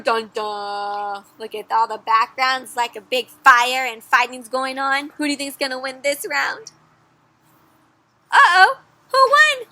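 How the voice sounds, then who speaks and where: A young woman reading a picture book aloud to children in a lively way, gasping and asking her listeners questions. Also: high voice, dry recording. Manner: expressive, asks children questions, gasps and dramatic pauses. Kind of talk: animated children's read-aloud.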